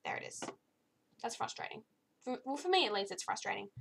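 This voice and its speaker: A young woman reviews a video game in a loose, chatty way, her fairly high voice a little croaky today. She gets easily exasperated and fills her talk with ums and restarts. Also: expressive, a little fast, fairly bright tone, slight background noise. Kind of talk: casual spoken game review.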